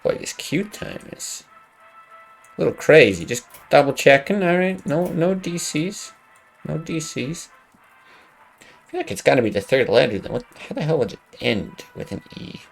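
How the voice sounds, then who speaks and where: A man's voice: a little high, big pitch swings, normal speed, creaky, faint music behind. A young man streaming a card game, chatting casually and thinking aloud, swearing under his breath now and then. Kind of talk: casual gameplay commentary.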